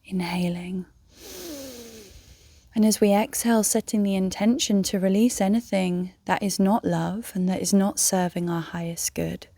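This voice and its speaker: A woman leading a guided meditation in a soft, breathy, near-whispered voice, gently and soothingly, with long pauses. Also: mid-range voice, fairly even intonation, no room sound. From England. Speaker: meditation guide, yoga teacher.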